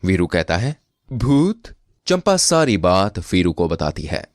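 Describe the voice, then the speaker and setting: A man narrating a scripted comic moral tale as an expressive storyteller. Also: low-pitched, normal speed, no room sound, clean studio sound.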